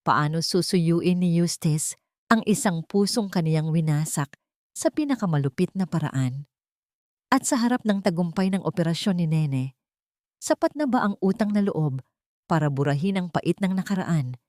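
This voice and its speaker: A woman with a fairly low voice narrates a romantic drama for an audio story. Her delivery is solemn and measured, and the sound is close and dry. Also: lively intonation, darkish tone, no echo.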